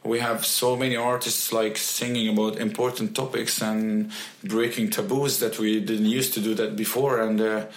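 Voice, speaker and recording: A young musician, a man, answering interview questions in halting English with many restarts. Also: fairly low pitch, little pitch movement, echoey room. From Egypt. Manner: halting, with frequent restarts and fillers. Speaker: musician guest.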